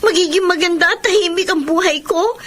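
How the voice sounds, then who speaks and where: A woman's voice: extremely high pitch, fairly quick, a bit bright. An actress playing an earnest, defiant fifteen-year-old girl in a Filipino melodrama, plaintive, with a Filipino accent. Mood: tearful, indignant. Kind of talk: Filipino radio-style melodrama acting and narration. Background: prominent music.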